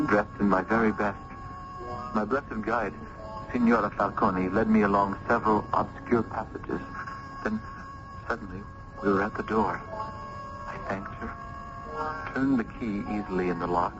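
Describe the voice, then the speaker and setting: Actor as a lovestruck young gentleman, low-pitched. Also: fairly even intonation, moderate pace, long pauses, music in the background, natural voice. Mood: hushed recollection. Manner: courtly, formal, romantic. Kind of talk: old-time radio drama acting and first-person narration. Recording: muffled, noisy vintage radio recording.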